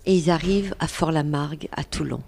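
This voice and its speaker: An author in conversation on the radio, she speaks in a low, gravelly voice. She tells her story with earnest animation, acting out the quoted words, and a faint hiss sits under her speech. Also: brisk, darkish tone. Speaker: author being interviewed.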